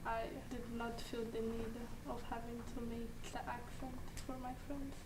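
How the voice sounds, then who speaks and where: A woman's voice: a little high, flat delivery, normal speed. A young woman from northern Mozambique, a native Portuguese speaker with a Mozambican accent, explaining her experiences thoughtfully. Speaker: interviewee. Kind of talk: personal reflection in interview. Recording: roomy, distant mic, noisy.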